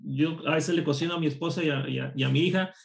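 Gravelly man telling stories, higher voice. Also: fast talker. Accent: none, a neutral Spanish. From Costa Rica. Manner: lively anecdotal storytelling. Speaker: programmer and course instructor, guest. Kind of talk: casual storytelling interview.

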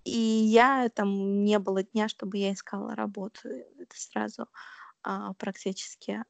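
Woman, young adult, interview guest, hesitant, gravelly voice, dry sound. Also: mid-range voice, steady tone, measured pace, dry recording. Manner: thoughtful, hesitant, many fillers. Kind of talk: casual conversational interview.

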